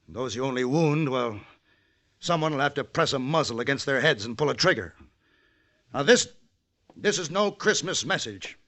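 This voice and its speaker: An actor playing a calm, principled gunfighter in a vintage radio western, speaking in a measured, firm, articulate way. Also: male voice, mid-range voice. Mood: earnest, persuasive.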